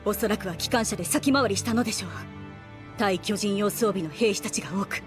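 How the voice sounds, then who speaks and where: A woman voicing a calm, shrewd young female soldier in an anime, speaking in a polite, composed, analytical way. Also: mid-range voice, fairly quick, lightly acted. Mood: tense reporting. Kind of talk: Japanese anime voice acting. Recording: music under the dialogue.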